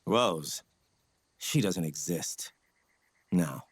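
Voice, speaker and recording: Brooding ninja-type game warrior, deep raspy voice, grave n measured, super dry sound. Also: male voice, animated, dry recording, exaggerated voice. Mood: bleak. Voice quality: low, breathy rasp. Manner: grave, measured, short deliberate phrases. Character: solemn, brooding male warrior scout. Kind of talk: video game voice acting.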